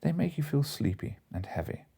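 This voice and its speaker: A man's voice: medium pitch, very animated, brisk, vocal fry, warm tone, breathy edge. A man narrating classic comic fiction as an audiobook reader, from a script.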